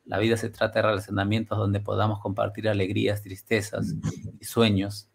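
Middle-aged man, low gravelly voice, headset mic, reflective host, portuguese-tinged spanish. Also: monotone, a little fast. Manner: warm, reflective, slips into Portuguese-flavored Spanish. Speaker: podcast host. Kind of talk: casual video-call interview. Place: home studio room.

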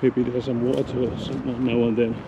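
A man with a Finnish accent, a Finnish native speaker narrating his exploration vlog outdoors, halting as he searches for English words. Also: medium pitch, little pitch movement. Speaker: urban explorer. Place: outdoors at an abandoned mansion. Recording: loud wind noise on the mic.

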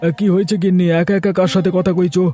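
A man's voice: sits high, little pitch movement, racing, warm tone, soft background music, natural voice. A man voicing a doting, cheerful village husband in a cartoon family drama. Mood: concerned.

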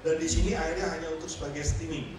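Fast flat-voiced male interpreter, echoey hall. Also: fairly high pitch, a crowd in the background. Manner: quick, flat delivery with many fillers and restarts. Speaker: interpreter and emcee. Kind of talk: live consecutive interpreting. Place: large hall with a stage and audience. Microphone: handheld mic. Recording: very echoey hall, heard from a distance, with audible room noise.

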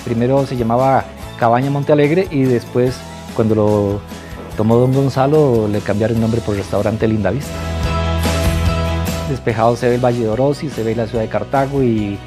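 A man's voice: medium pitch, moderate pace. A middle-aged man with a Costa Rican accent giving informal interview answers about his workplace. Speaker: restaurant staff interviewee. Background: background music.

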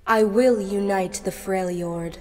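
A young woman in her twenties doing quick impressions of many characters in a cluttered bedroom, switching between whispery, husky and squeaky voices. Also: steady tone, a little mellow, big-room sound. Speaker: gaming video creator. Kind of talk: rapid-fire character impressions.

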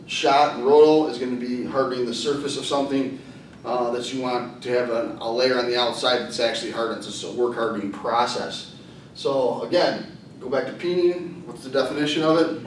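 A plain-spoken man in his thirties explaining things as an instructor in an informal whiteboard lesson. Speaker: welding instructor and video host. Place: hard-walled indoor classroom with whiteboard. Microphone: far camera. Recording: echoey room, distant camera microphone, faint rumble.

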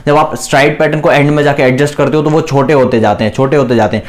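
A young man in his twenties coaching fast bowling, talking straight to camera on a lapel mic in a small room, earnest and explanatory, repeating his key points. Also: medium pitch, steady tone. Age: 20s. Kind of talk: instructional video talking to camera.

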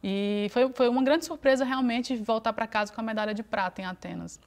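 A woman in her thirties from Brazil, a guest on a TV studio interview, speaking in a relaxed, reflective way with frequent fillers. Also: fairly high pitch, brisk. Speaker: interview guest, Paralympic swimmer.

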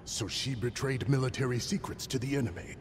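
A man playing a proud, anguished aging military commander in a video game, grave and dramatic, with a gravelly voice. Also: mid-range voice, fairly quick, background noise, lightly acted. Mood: indignant. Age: older. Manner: grave, dramatic, brooding delivery. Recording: long reverb under music.

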